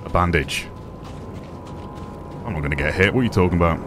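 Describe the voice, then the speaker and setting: A young man with a deep voice giving casual gameplay commentary as a streamer, relaxed with excited asides. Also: brisk, background noise. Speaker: gaming streamer.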